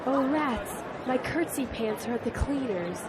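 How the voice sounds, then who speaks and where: A woman's voice: on the high side, expressive, a little slow, crowd noise, noisy background. A woman playing a wry, easygoing friend in an audio drama, trading dry, joking banter. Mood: teasing, wry.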